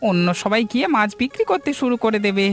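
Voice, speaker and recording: A grumbling, devout village fisherman, played by a voice actor in a moral cartoon. The pitch swings widely as he talks. Light music runs behind the voice. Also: big pitch swings, fast, close to an everyday voice. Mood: relieved, practical. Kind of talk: Bengali moral cartoon dubbing.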